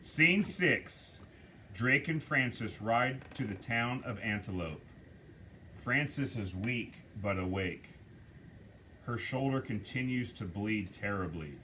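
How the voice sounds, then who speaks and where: A man reading the stage directions aloud at a script table read, measured and deliberate. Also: on the low side, expressive, bright, forceful tone, a little hiss or hum.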